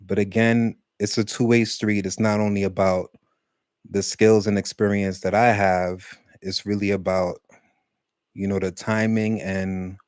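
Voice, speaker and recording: Low-voiced man teaching English casually. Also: a little mellow, no room sound, studio quality. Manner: conversational, many fillers, speeds up and slows for emphasis. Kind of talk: casual rambling lesson talk.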